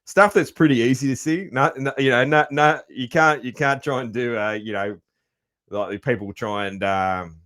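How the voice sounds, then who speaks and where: A man hosting a podcast interview, laid-back and loud-voiced, laughing easily and calling people "man". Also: mid-range voice, moderate pace. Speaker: podcast host. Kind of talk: casual interview chat.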